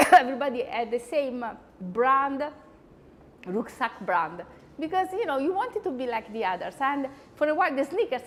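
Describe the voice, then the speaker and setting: Animated Italian woman, high voice, roomy. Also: slight background noise. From Italy. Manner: chatty, animated, frequent asides. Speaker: host. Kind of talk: lecture-style talk.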